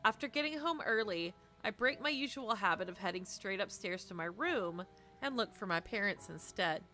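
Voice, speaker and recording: A young woman streamer reading a visual novel aloud expressively, with dramatic, casual, animated asides. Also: mid-range voice, moderate pace, faint music behind.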